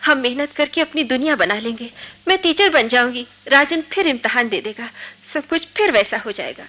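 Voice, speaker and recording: High-voiced, impassioned young woman in radio drama. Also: animated, normal speed, pushed and bright. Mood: determined, pleading. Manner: impassioned, poetic, theatrical. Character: defiant young college woman desperately in love. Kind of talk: Hindi radio drama acting.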